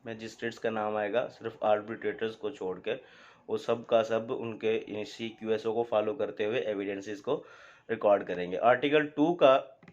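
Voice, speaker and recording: A man with a Pakistani accent gives an explanatory law lecture, teaching didactically and often asking questions that he answers himself. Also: mid-range voice. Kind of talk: explanatory lecture, mixing Urdu with English legal terms. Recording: dull, lo-fi sound.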